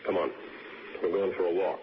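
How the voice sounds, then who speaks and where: Actor as deep-voiced persistent radio police interrogator. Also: male voice, steady tone, normal speed, close to an everyday voice. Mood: matter-of-fact, insistent. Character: male police interrogator, coolly persistent, coaxing out confession. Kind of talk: 1960s radio drama acting. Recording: noisy, dull old radio broadcast.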